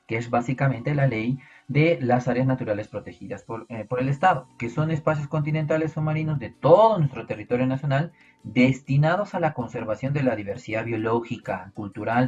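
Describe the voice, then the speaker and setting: A man's voice: mid-range voice, darkish tone, dull audio. A man with a Peruvian Spanish accent teaching an online biology class, explaining step by step and asking his students rhetorical questions. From Peru.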